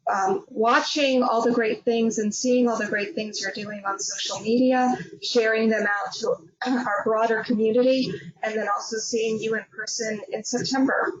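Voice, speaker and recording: Higher-pitched woman moderating over muffled call. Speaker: webinar host and moderator. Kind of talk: informal webinar moderation and closing remarks. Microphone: web conference call. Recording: muffled, lo-fi web call audio.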